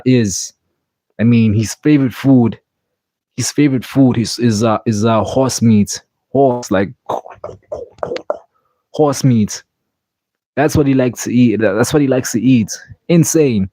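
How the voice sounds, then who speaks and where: A man chatting casually about fights, halting and repeating himself with lots of "like". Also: mid-range voice, dark tone, no echo.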